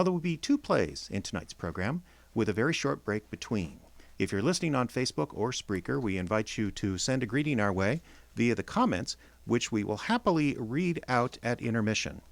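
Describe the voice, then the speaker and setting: A male announcer reads scripted radio theater copy with a very animated, rising and falling delivery. His phrasing is deliberate and theatrical. The sound is dry, with almost no room. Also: mid-range voice, no echo. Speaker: announcer and host.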